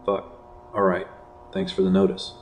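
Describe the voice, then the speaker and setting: A man playing a worried, gruff boyfriend in an amateur horror drama, speaking in a terse, casual way. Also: monotone, moderate pace, natural voice. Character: gruff, worried boyfriend searching for his girlfriend. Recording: low voice under loud noise, clicks.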